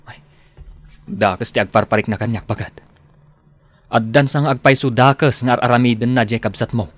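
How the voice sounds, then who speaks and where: An actor plays a wary grown man of the family in a radio drama. His mid-pitched, Ilocano-accented voice is plain, level-headed and questioning. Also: steady tone, normal speed, lightly acted. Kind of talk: Filipino radio drama acting.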